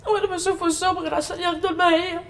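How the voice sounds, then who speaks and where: Meek young Egyptian housemaid, plaintive, very high-pitched, talking very fast, TV drama. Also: female voice, little pitch movement. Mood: downcast. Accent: Egyptian Arabic. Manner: plaintive, tearful. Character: young housemaid, self-pitying, meek and devoted. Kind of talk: scripted TV drama dialogue.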